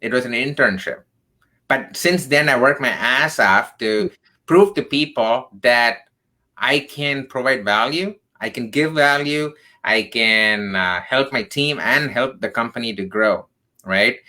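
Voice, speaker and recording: A man's voice: medium pitch, unhurried, sharp tone. A man from southern India in his thirties, a tech career coach telling his story in an earnest, emphatic way, tagging points with "right?". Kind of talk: radio interview storytelling.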